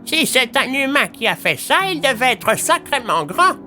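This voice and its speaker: Here is a man acting out an elderly village woman for an audio tale. The voice is very high and lively in pitch, with a bright edge. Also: moderate pace, soft background music, exaggerated voice. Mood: alarmed. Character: old woman, a husky breeder living by the forest. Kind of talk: French audio fiction character voice.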